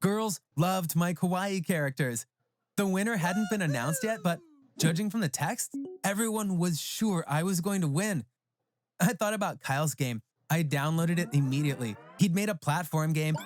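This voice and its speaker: A man narrating a scripted animated story as a proud, resentful teenage boy. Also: high voice, normal speed, faint music behind, natural voice. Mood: excited.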